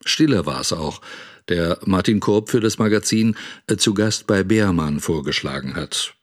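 A man playing a sardonic broadcast editor who gives a dry, measured account in a radio drama. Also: low-pitched, animated. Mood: wry.

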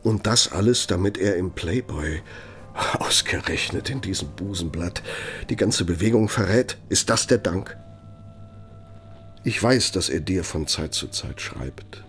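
An actor playing a pompous, bitter older former Nazi functionary dictating in a German radio play, sardonic and domineering. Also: male voice, deep voice, expressive, a little slow, slight background noise. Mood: bitter, reproachful.

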